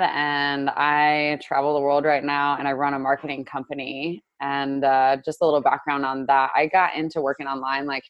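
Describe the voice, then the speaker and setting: Brief: twenties woman, deep speaking voice, loose chatty podcast guest, dry booth sound. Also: dry recording. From United States, Indiana. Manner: chatty, lots of "like" fillers, laughs often. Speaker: guest, digital marketer. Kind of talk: casual interview chat.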